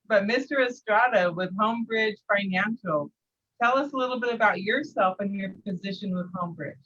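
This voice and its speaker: An upbeat woman moderates a panel, thanking guests and passing the turn briskly. Her voice is breathy and a little husky. The recording is dull and lo-fi. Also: mid-range voice, fairly bright tone. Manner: upbeat, brief thanks and prompts. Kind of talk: hosting a panel. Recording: dull lo-fi sound.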